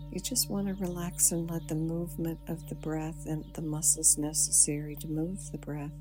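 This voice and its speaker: A woman guiding a breathing meditation, speaking softly and soothingly with long pauses. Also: low-pitched. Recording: music playing behind the voice. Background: soft background music.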